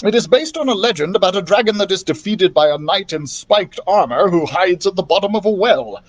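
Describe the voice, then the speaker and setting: An excitable, learned storybook doctor, played by a man, pitched up and lively. He speaks with emphatic command, like someone used to leading an expedition. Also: fairly quick. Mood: earnest, fretful.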